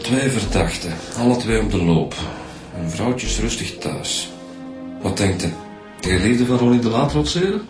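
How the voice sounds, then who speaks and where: A man's voice: lowish voice, expressive, moderate pace, over music, close to an everyday voice. An actor with a Flemish accent playing a casual, teasing male police inspector. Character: young male police inspector, joking with colleagues. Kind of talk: radio drama acting.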